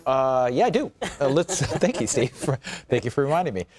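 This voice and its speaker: A man's voice: mid-range voice, big pitch swings, brisk, darkish tone, faint music behind. A man with an American accent giving a live morning weather report in an informal, joking, chatty way. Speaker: TV weather forecaster.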